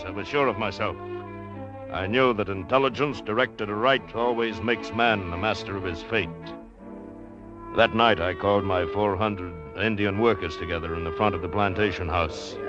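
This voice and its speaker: An actor playing a sardonic, supremely self-assured plantation owner in a commanding, clipped old-time radio drama style. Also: male voice, lowish voice, natural voice. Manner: commanding, clipped, unshakably confident. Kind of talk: 1940s-50s radio drama acting. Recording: muffled vintage radio recording, music behind.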